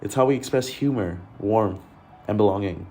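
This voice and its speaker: A man's voice: fairly low pitch, normal speed, mellow. A young man from Malaysia narrating a documentary in an earnest, introspective voice. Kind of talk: reflective scripted narration.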